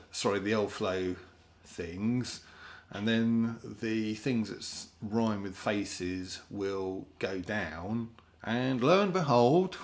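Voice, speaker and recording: Low, creaky British man, slow and sardonic. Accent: British English. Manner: rambling, sardonic, often mimics other people in put-on voices. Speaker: host of an opinion monologue. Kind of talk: casual spoken commentary.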